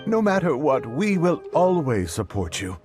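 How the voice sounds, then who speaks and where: A man's voice: fairly high pitch, big pitch swings, normal speed. An actor voicing a loyal, indignant townsman in a video game, sardonic and protective. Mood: firm, supportive. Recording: music behind the voice.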